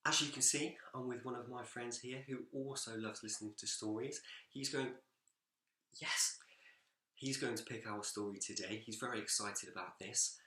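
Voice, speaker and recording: A man reading a children's picture book aloud in an expressive, animated storytelling manner. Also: medium pitch, normal speed. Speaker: school story-time reader, teacher. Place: indoors, seated in a reading chair. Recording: echoey room.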